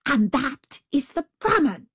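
A woman's voice: on the high side, expressive, slow pace, dry recording, studio quality, pitched above the performer's own voice. A woman voicing a fierce, impatient and gullible male tiger in a storybook, with a bossy growl. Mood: exasperated rage.

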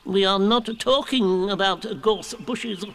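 A man's voice: high-pitched, slow pace, a bit bright, no room sound, pitched above the performer's own voice, heavily acted. A man voicing a pompous, superior old male owl who lectures the others. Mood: cross. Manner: lecturing, self-important.

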